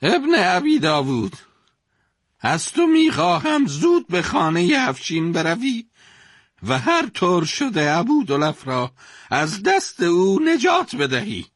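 A man's voice: high-pitched, very animated, a bit bright, no room sound, clean studio sound, pitched above the performer's own voice, heavily acted. A man playing a troubled, commanding caliph in a dramatised historical story, speaking in formal, emphatic literary phrases.

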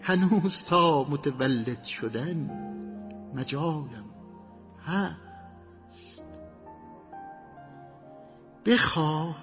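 Man reciting poetry slowly over music. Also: fairly high pitch, expressive.

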